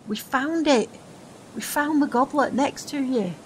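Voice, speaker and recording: A woman playing a brisk, caring spellcaster in an audio drama, with a formal, matter-of-fact way of talking. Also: sits high. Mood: exasperated, urgent. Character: brisk female spellcaster of limbo, exasperated yet caring.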